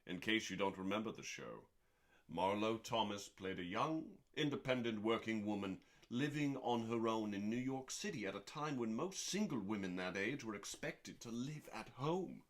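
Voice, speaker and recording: A man reading aloud as host in a calm, dramatic bedtime style, with deliberate theatrical pauses between short phrases. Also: on the low side. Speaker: host and reader. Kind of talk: dramatic, calming audiobook-style cookbook reading.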